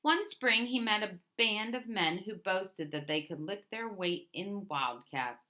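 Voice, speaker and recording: A woman reading a picture book aloud as a storyteller, in a deliberate manner with emphatic openings to her phrases. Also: medium pitch, lively intonation, sharp tone. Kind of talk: scripted picture book narration.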